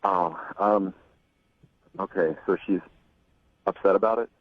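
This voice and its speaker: A man's voice: deep voice, no room sound. Hesitant man on a muffled phone line. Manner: halting, hesitant, many pauses and restarts. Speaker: caller on the phone. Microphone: telephone.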